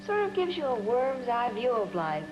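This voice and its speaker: A woman's voice: on the high side, big pitch swings, normal speed, noisy background. A woman playing a sardonic, world-weary wife who longs to escape, with hard-boiled delivery in an old television drama. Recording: muffled vintage broadcast, loud music behind.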